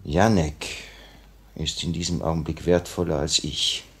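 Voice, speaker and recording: A man's voice: rumbling low, level tone, unhurried, creaky, breathy edge, heavily acted. An actor in a 1950s German radio drama playing a brooding, restless male leader of a revolutionary cell, with an intense, theatrical, confiding delivery. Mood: troubled, conflicted. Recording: old 1950 radio play recording.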